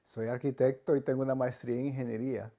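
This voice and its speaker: A man's voice: medium pitch, little pitch movement, fast, warm tone. A middle-aged man, an architect, reflecting in an interview with many fillers and a touch of English. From El Salvador.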